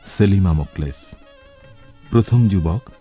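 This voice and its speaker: A man reading radio drama credits as an announcer in a formal, measured manner. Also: low-pitched, warm tone, faint music behind. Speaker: closing announcer.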